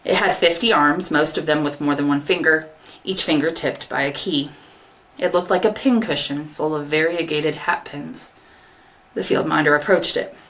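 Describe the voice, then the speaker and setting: A woman's voice: deep voice, normal speed, a bit bright, poor audio. A woman English teacher reading a short story aloud as scripted narration.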